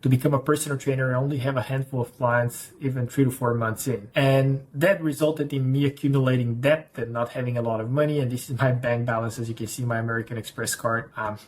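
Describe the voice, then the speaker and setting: A young man from Bulgaria delivers a rapid, energetic pitch straight to camera. His voice sits at an ordinary middle pitch, and he keeps up a brisk sales patter as a business coach.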